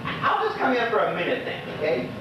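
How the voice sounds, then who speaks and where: A man's voice: very high voice, wildly swooping pitch, fairly quick, exaggerated voice. Man acting a chatty old Bermudian widower, very echoey. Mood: friendly, jovial. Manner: rambling, folksy, conversational. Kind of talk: stage monologue, colloquial storytelling to an unseen neighbour. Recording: roomy, noisy, muffled distant stage recording.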